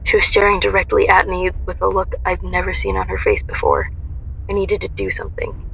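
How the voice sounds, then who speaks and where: A woman's voice: mid-range voice, flat delivery, fairly quick, vocal fry, a bit bright, slight background noise, rough recording. A woman playing a young heroine who recounts her ordeal in intense first-person dramatic narration. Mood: tense intense.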